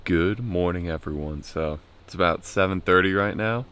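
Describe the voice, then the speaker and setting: A man's voice: sits low, moderate pace, smooth. Chatty young man vlogging outdoors. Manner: relaxed, chatty, upbeat about nature. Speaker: camping vlogger. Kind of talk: casual vlog narration. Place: outdoors at a campsite, meadow and in a tent. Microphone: handheld camera at arm's length.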